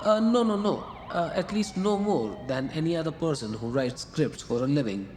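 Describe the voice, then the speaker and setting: A man's voice: on the high side, normal speed, close to an everyday voice. A man playing a wry male muse. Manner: wry, calm, coaxing. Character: male muse, wry, patient, gently teasing. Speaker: the writer's muse. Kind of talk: radio drama acting. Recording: long reverb, faint noise.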